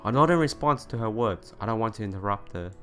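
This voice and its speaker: A man hosting a let's play, reading visual novel narration aloud in an expressive storytelling manner. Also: on the low side, fast. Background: background music.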